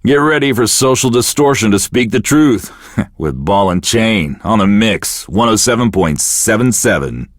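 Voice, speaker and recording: An actor playing a self-pitying, sardonic radio DJ in a video game, world-weary and deadpan. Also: male voice, lowish voice, moderate pace, dry recording, studio quality, natural voice. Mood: sardonic, resigned. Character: bitter, self-pitying male radio DJ with a wrecked life. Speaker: radio DJ host. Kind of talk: scripted radio DJ patter for a game.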